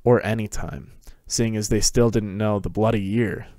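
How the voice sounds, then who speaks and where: A man's voice: lowish voice, steady tone, normal speed, warm tone, dry recording, clean studio sound. A man giving a scripted dramatic reading of a fantasy story as narrator. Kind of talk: dramatic reading of fan fiction.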